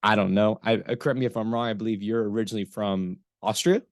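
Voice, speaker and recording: A man's voice: fairly low pitch, fairly even intonation, fast talker, no echo, studio quality. A man hosting a casual podcast interview, friendly and affirming, often agreeing and laughing with his guest. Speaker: podcast host.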